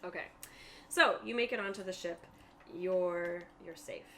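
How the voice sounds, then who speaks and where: A woman's voice: on the low side, unhurried, bright, forceful tone, slight background noise. A woman narrating a tabletop roleplaying game as game master, explaining things in a conversational way.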